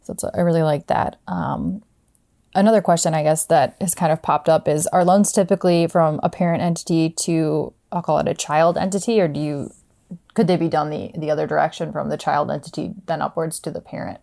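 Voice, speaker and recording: Gravelly-toned woman hosting a podcast. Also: sits low, fairly even intonation, brisk, mellow. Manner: friendly, searching for words with hedged questions. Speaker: podcast host. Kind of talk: conversational podcast interview.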